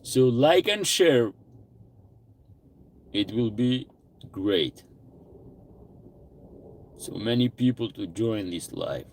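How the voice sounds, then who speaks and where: A man's voice: mid-range voice, lively intonation, extremely slow, long pauses, a little hiss or hum. A man hosting a casual livestream, greeting viewers by name and answering their comments aloud. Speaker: livestream host.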